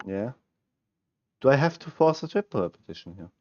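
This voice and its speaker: A man's voice: mid-range voice, sing-song, darkish tone, lo-fi sound, slight background noise. A young man from Switzerland with a Swiss German accent, a native German speaker, thinking aloud as he streams chess puzzles and chats with viewers through a headset at home. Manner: musing, halting, self-correcting, talks to himself. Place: small room at home, indoors.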